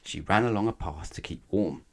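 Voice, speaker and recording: A man reading a children's bedtime story aloud in an expressive storytelling style. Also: low-pitched, normal speed. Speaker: storybook reader.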